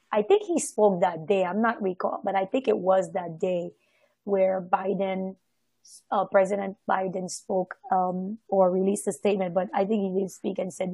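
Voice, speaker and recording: A woman from Haiti giving a casual, reflective personal account, halting and often circling back to correct herself. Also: mid-range voice, darkish tone, breathy edge, no echo, low-quality recording. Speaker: participant sharing personal experience in a group discussion.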